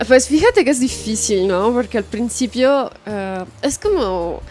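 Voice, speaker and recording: A young Italian woman from Sicily with an Italian accent, chatting as a musician guest, lively and laughing, hunting for words now and then. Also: on the high side, unhurried, faint music behind. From Sicily, Italy. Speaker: singer-songwriter guest. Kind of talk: casual interview chat. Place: room with wooden louvered doors, indoors. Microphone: handheld mic.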